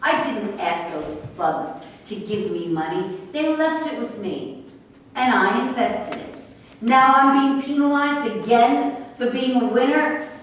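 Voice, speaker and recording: A woman's voice: on the high side, takes it slowly. Echoey, defiant woman horse trainer, acted by a woman. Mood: defiant, indignant. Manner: combative, sarcastic. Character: defiant, sharp-tongued female racehorse trainer. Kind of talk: amateur staged reading. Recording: echoey room, distant mic, faint noise.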